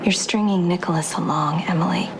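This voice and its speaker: A woman's voice: lowish voice, fairly quick, lightly acted. A woman playing a bitter, scheming young wife in a soap opera, cutting and sarcastic. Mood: cold, accusing. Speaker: actor in a TV serial drama. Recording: very noisy background.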